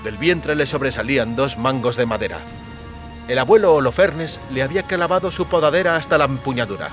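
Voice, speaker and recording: A man's voice: medium pitch, expressive, a little fast, a bit bright, faint music behind. A man reading a fantasy audiobook as dramatic scripted narration.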